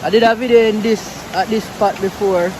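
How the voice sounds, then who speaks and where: Jamaican man with a high voice, rushing river behind. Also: noisy background. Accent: Jamaican Patois. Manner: relaxed, chatty, mixing Patois into English. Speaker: vlogger. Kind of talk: casual vlog talk outdoors. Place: outdoors by a river.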